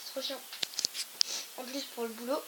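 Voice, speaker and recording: A young woman with a cold, co-hosting a casual gaming video, quick to protest and exclaim. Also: sits high. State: stuffy with a cold. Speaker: gaming YouTuber co-host. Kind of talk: casual let's-play chat. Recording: hissy home recording. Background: steady hiss with clicks.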